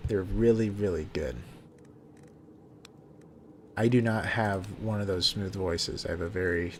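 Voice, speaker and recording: A man casually chatting while he plays a game on a live stream, talking in a relaxed, soothing way and often restarting his sentences. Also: deep voice, unhurried, slight background noise. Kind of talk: casual live gameplay commentary. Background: game music.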